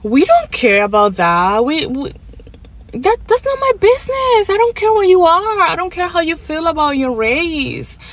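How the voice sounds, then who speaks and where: A woman's voice: very high-pitched, very animated, moderate pace. Woman from the Dominican Republic, casual rant, preachy and dismissive delivery. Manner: dismissive, lecturing.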